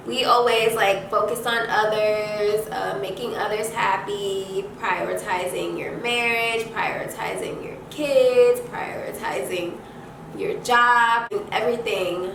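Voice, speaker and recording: A congested young woman giving a casual motivational talk to viewers, conversational and halting, repeating and rephrasing points for emphasis. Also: medium pitch, creaky. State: congested from allergies. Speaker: host of a self-care advice channel. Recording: echoey room, distant mic, noisy background.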